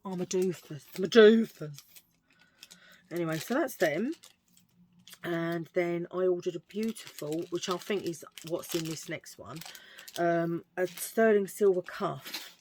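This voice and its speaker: Middle-aged woman, husky lowish voice, rambly chatty haul talk, pokes fun at herself. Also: unhurried, a little mellow, slight background noise, rough recording. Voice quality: husky, low voice. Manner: rambling, self-mocking, chatty with fillers. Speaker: vlogger showing a thrift haul. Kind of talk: casual chatty haul video.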